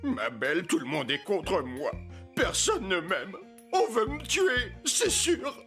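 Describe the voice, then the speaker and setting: High-pitched paranoid cartoon king. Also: big pitch swings, slow, pushed and bright, faint music behind, exaggerated voice. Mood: self-pitying. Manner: theatrical, blustering. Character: paranoid, hot-tempered, self-pitying king. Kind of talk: French children's cartoon acting.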